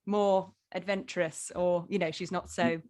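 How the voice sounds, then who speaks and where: A woman's voice: on the low side, level tone, moderate pace, a crowd in the background. A woman chatting casually as an actor in an online rehearsal discussion. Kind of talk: casual chat. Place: indoors, video call.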